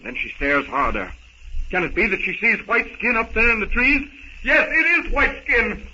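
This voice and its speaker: Male narrator for vintage radio adventure: theatrical suspense, raised pitch, dull recording with clear noise. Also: big pitch swings, moderate pace, sharp tone. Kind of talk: 1930s radio serial narration, dramatic. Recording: muffled, noisy 1930s radio recording.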